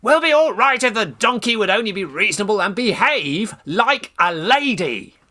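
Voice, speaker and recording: Man as blustering British young gentleman, high and forceful. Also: very animated, moderate pace, full character voice. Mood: indignant. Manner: emphatic, blustering. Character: bossy, hot-headed young Victorian gentleman schemer. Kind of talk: Victorian stage farce, radio play acting.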